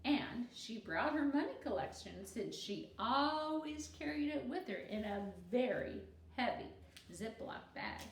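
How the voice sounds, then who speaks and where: A woman voicing a self-assured young schoolgirl storyteller in an emphatic, deliberate, instructive way for a storybook. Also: on the high side, expressive, reverberant, distant mic. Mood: theatrical storytelling.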